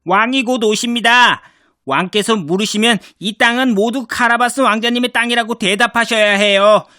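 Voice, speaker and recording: An actor playing a clever, scheming male cat in boots who talks politely and flatteringly in a cartoon. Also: very high-pitched, moderate pace, no echo. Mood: courteous. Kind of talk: cartoon voice acting.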